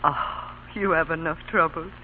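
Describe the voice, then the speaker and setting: A woman's voice: sits low, steady tone, measured pace. An actress playing an anxious, devoted wife and mother, earnest and emotional, in a vintage radio drama. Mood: worried. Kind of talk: 1930s-40s radio drama acting. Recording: tinny, faintly noisy old radio broadcast.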